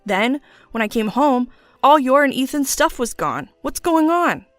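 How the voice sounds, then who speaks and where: A panicked husband, played by a woman in an audio story, pleading and flustered. The voice is raised in pitch, close and dry, with music playing behind it. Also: animated, unhurried, no room sound. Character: panicked cheating husband, adult man, making excuses.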